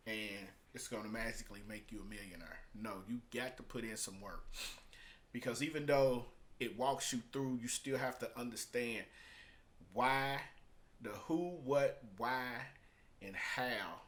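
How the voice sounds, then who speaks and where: A man's voice: medium pitch, a little slow, a bit bright. A man talking casually and off the cuff as he reviews and explains, in an emphatic, repetitive, colloquial way. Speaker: vlogger reviewing a business book.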